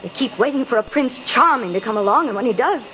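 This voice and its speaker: An actress playing a brisk, no-nonsense mother with a firm, formal manner in a 1950s radio drama. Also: a little high, expressive, close to an everyday voice. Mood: firm, earnest. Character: brisk, no-nonsense working mother who scorns fairy tales. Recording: muffled noisy old radio recording.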